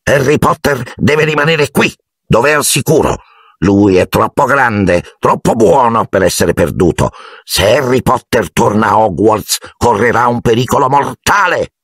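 A tiny house-elf, pitched far above a man's normal talk, with a squeaky, hoarse edge. He is servile, emotional and anxious, swooping about as he pleads in a storybook reading. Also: expressive, measured pace, a bit bright, no room sound, clean studio sound, exaggerated voice. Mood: alarmed pleading. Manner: speaks of himself in third person, deferential.

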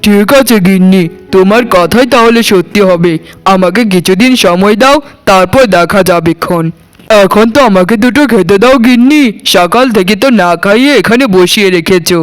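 A man's voice: high voice, smooth, lightly acted. A man voicing a poor, put-upon labourer husband in a cartoon, plaintive and reproachful. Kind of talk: Bengali cartoon voice acting. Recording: music behind the voice. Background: music.

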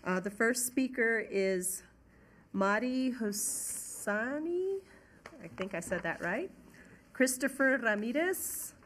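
A woman chairs a formal board meeting, reading the rules for public comment slowly and deliberately. When she calls up speakers, her tone turns more casual. She speaks into a desk microphone in a large council chamber. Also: on the high side, lively intonation, a bit bright. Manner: reads rules slowly, then corrects herself casually.

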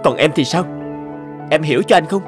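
An actor voicing a courteous, devoted rich young boss and boyfriend in a cartoon drama, affectionate and earnest. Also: male voice, fairly high pitch, a little fast, natural voice. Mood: hurt, insistent. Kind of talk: animated drama dubbing. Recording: music clearly under the voice.

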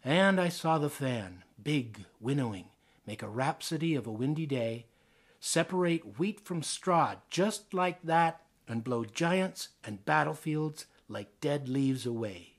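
Slow, measured Canadian man reading poetry. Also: a little high, expressive. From Ontario, Canada. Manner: deliberate, theatrical phrasing with pauses. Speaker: actor reading poems aloud. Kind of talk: expressive dramatic poetry reading.

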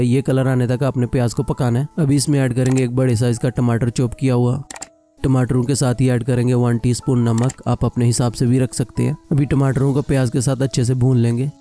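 A man narrating a cooking video voice-over, explaining each step calmly and plainly. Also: medium pitch. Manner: calm step-by-step instruction. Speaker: cooking channel host.

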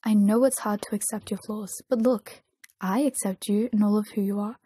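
Woman reading as earnest young male idol, husky. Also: mid-range voice, fairly even intonation, moderate pace, mellow, no echo, studio quality. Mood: calm, reassuring.

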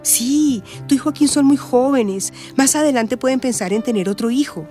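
Radio soap role: woman as compassionate adviser, mellow tone, faint music beneath. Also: high-pitched, normal speed, lightly acted. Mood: reassuring. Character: calm, compassionate woman counseling a troubled girl. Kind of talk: radio drama acting.

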